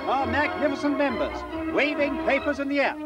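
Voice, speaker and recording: High-pitched jolly British newsreel announcer, loud music. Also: male voice, lively intonation, a little fast. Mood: jolly, gushing. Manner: chirpy, exclamatory, quaintly old-fashioned. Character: jolly, plummy old-time cinema newsreel announcer, gushing and patriotic. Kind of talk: parody 1940s cinema newsreel commentary.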